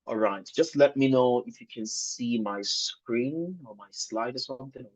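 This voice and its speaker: A man's voice: mid-range voice, unhurried, a little mellow. A man in his thirties with a Nigerian accent, giving an informal webinar talk about himself, conversational and rephrasing for emphasis over an online call. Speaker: webinar speaker. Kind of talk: informal presentation telling a personal story. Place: online video call with screen sharing. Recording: muffled lo-fi online call audio.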